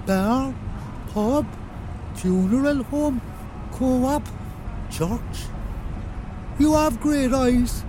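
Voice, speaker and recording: Childlike old Irish man, slow halting delivery, clear car noise behind, radio drama. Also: very high-pitched. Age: older. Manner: halting, naming things in clipped single words.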